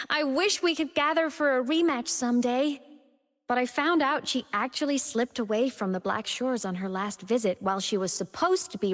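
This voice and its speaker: A young woman playing a gentle, playful female digital ghost in a video game, with a tender, earnest and affectionate manner. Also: fairly high pitch, big-room sound, close to an everyday voice. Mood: fond, concerned. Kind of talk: video game character voice lines.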